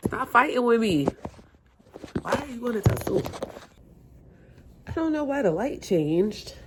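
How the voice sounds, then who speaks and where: A woman's voice: a little high, big pitch swings, measured pace, heavy background noise. A woman vlogging casually at home, chatty and rambling, stuffy and hungover, talking into her phone. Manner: chatty, rambling, self-mocking. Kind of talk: casual chat to camera. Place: home room by windows. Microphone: phone held or propped.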